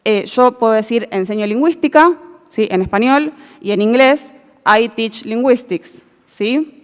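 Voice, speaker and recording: A woman linguist gives a formal, measured explanatory talk at a Senate hearing. She often checks that her listeners follow her. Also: a little high. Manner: measured and didactic, checks understanding with a questioning "¿sí?". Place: large legislative hall, indoors. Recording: long reverb of a large hall.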